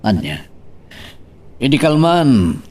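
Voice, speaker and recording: A man's voice: medium pitch, very animated, slow pace, darkish tone. A man acting a concerned, questioning father in a radio drama. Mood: surprised, concerned. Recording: distant, slightly noisy.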